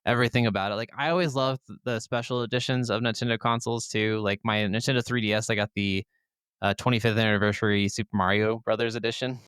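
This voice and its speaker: Male podcast host, low smooth voice, dry close sound, informal and enthusiastic gaming chat. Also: fairly even intonation, quick pace, fairly bright tone, dry recording. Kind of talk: casual chat about video games.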